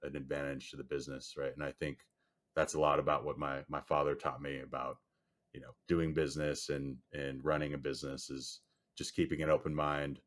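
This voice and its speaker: Very deep-voiced man, flat and steady. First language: Chinese. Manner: measured, frequent "you know" fillers. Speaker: guest, storage company executive. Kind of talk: podcast interview answer.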